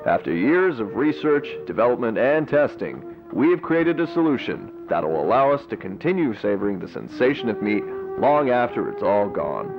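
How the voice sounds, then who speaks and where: A man playing a polished, persuasive corporate pitchman narrating a scripted infomercial. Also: big pitch swings, moderate pace. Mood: promotional. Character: smooth-talking corporate pitchman for a meat substitute. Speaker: advertising narrator. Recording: dull lo-fi video audio over music. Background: music.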